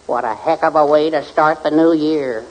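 An actor playing a timid, henpecked middle-aged husband, hesitant and deadpan in old radio comedy style. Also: male voice, fairly high pitch, moderate pace, highs cut off, lightly acted. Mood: timidly pleased. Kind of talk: 1940s-50s radio comedy acting. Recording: noisy old radio recording.

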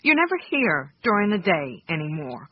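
A woman's voice: lowish voice, sing-song, moderate pace, slightly rough, lo-fi sound. An actress playing an anguished, bitter wife and grieving mother in an emotional radio drama. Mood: bitter, weary. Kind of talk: naturalistic radio drama acting.

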